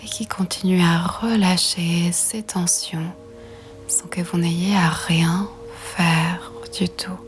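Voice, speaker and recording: A woman guiding a relaxation meditation in a soft, breathy, half-whispered voice, soothing and gentle. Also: lowish voice, fairly even intonation, slow, soft background music. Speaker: yoga nidra guide.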